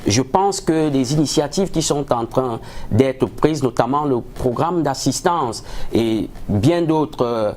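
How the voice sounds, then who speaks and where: A man answering as a political analyst in a formal, measured, somewhat hesitant way, dotted with rhetorical tags. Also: medium pitch, expressive, a little mellow. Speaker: political analyst, studio guest.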